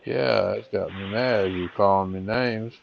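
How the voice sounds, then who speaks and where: A man's voice: on the low side, fairly even intonation, a little slow, creaky, a little hiss or hum. A man making a prank phone call, drawling and teasing in a mock-folksy way. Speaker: scambaiter.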